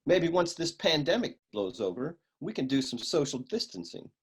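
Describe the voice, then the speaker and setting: An actor playing a wisecracking hardboiled private eye in a comic noir radio serial, with deadpan, slangy tough-guy talk. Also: a little high, moderate pace, slightly rough. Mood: smooth, reassuring.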